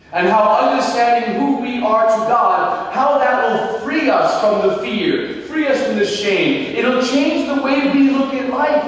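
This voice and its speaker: A man in his thirties preaching at a pulpit, gesturing widely and swinging between high exclamations and quiet asides, with humorous touches. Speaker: priest and mission preacher. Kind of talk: parish mission announcement sermon. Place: large church sanctuary, at the pulpit. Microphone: small headset mic. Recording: echoey church from far off.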